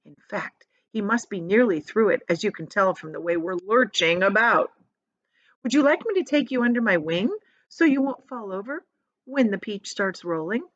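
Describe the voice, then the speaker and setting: A woman voicing a kind, gentle, confident ladybug in a storybook, explaining things in a reassuring, eager way. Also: medium pitch, animated, normal speed. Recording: dull, lo-fi sound.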